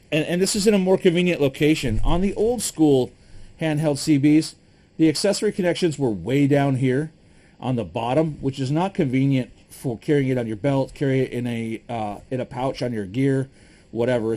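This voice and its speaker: Middle-aged man, Michigan accent, warm mellow tone, relaxed matter-of-fact gear talk with frequent fillers. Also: mid-range voice, moderate pace. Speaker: preparedness gear reviewer. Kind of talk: casual gear demonstration.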